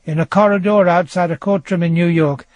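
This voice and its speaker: A man narrating a true-crime radio drama in a grave, measured, literary style. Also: high-pitched, fairly even intonation, darkish tone, no room sound, lightly acted. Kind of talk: scripted dramatic documentary narration.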